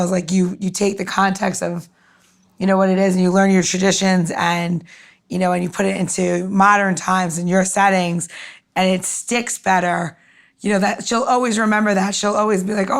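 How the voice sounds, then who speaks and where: A woman's voice: mid-range voice, steady tone, brisk. A woman co-hosting a podcast, chatting casually in an informal, rambling, anecdotal way. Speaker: podcast co-host. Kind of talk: casual chat.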